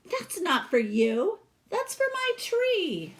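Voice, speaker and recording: Woman voicing an earnest little girl poet, slow and heartfelt. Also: very high voice, huge pitch swings, pitched above the performer's own voice, heavily acted. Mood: indignant, pleading. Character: earnest young girl poet who loves a tree.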